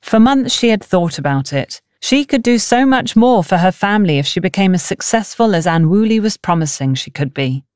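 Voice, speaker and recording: A woman narrates a novel in a scripted audiobook style. Her pitch is medium, and the sound is very dry, with little room around the voice. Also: expressive, moderate pace, hardly any pauses, darkish tone, dry recording.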